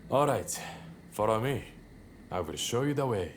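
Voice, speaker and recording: An actor playing a bold, charming young samurai rebel leader in a video game cutscene, relaxed and self-assured. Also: male voice, mid-range voice, normal speed, a little hiss or hum, natural voice. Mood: easygoing, charming.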